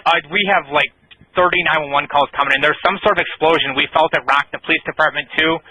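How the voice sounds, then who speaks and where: Fast, sharp male emergency dispatcher on radio. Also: fairly high pitch, fairly even intonation, poor audio. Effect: two-way radio. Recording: emergency scanner radio audio.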